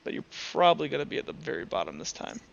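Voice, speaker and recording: A man's voice: medium pitch, big pitch swings, racing, gravelly, highs cut off. A man acting as game master, casually running an online tabletop game and thinking aloud as he goes.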